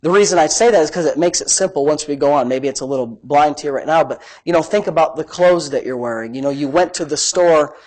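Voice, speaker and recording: High-pitched male preacher with a big voice. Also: fast, few pauses, a little mellow, low-quality recording. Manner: emphatic, folksy, repeats phrases for emphasis. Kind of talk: sermon, conversational teaching. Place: live-streamed church service, amplified.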